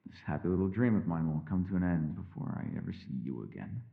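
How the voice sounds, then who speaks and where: A man playing a mysterious, powerful and secretive elf in a fantasy ASMR roleplay, speaking in measured, formal, old-fashioned phrases, by turns teasing and world-weary. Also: sits low, lively intonation, creaky, narrow, tinny audio, exaggerated voice. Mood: weary, brooding.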